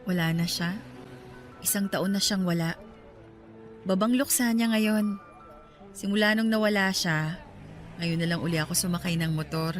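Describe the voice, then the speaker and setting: A woman playing a gentle, apologetic bereaved girlfriend riding as a passenger in a radio drama. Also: lowish voice, normal speed, long pauses, faint music behind, close to an everyday voice. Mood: wistful, grieving.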